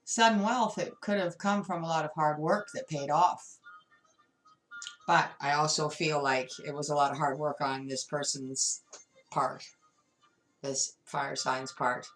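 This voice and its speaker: Low-voiced woman reading tarot cards. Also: fairly even intonation, a little slow, a bit bright, soft background music, low-quality recording. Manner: emphatic, repeats words, addresses viewer directly. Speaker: tarot reader. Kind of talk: tarot card reading monologue.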